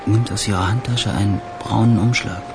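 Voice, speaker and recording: A watchful henchman shadowing a target in a German radio thriller. His voice is low and creaky, his reports short and focused. Loud music and vehicle sound run behind him. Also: fairly even intonation, a little fast. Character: low-ranking male tail reporting on a watched woman. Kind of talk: German radio crime drama acting.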